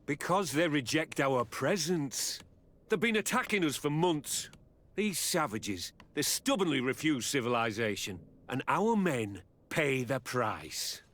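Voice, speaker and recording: A man's voice: mid-range voice, very animated, measured pace, fairly bright tone. A man playing a curt, callous colonial captain in a video game, matter-of-fact and scornful. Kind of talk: video game cutscene acting.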